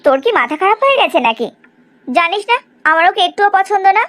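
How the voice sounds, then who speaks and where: Woman acting brash, high-voiced young cartoon heroine. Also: sing-song, fast talker, fairly bright tone, heavily acted. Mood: disdainful, protective. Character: blunt, disdainful young woman, fiercely loyal friend. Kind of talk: Bengali cartoon drama acting.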